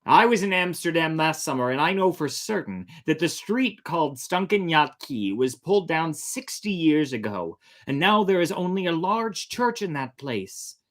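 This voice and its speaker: A reader voicing a blunt, wary male sailor who talks loudly and plainly. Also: mid-range voice, moderate pace, hardly any pauses, natural voice.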